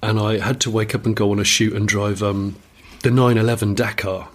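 Hoarse man chatting. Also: fairly low pitch, flat delivery, a little fast. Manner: rambling, giggly. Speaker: podcast host. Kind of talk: casual chat.

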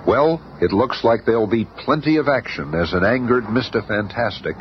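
A man's voice: medium pitch, normal speed. A man giving dramatic scripted narration in an old-time radio show. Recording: dull noisy old radio recording.

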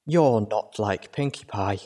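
A man voicing a gentle adult mare healer who speaks slowly, calmly and sadly. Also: on the low side. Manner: slow, calm and gentle.

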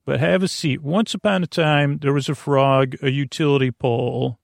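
A man with a creaky voice hosting a bedtime podcast in a halting, meandering monologue that keeps digressing and circling back. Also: medium pitch, steady tone, dry recording, studio quality. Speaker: podcast host.

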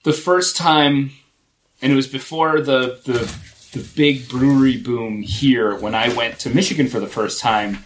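A man's voice: medium pitch, steady tone, unhurried, a little hiss or hum. A man co-hosting a podcast in casual chat, often stopping and restarting his sentences. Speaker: podcast co-host.

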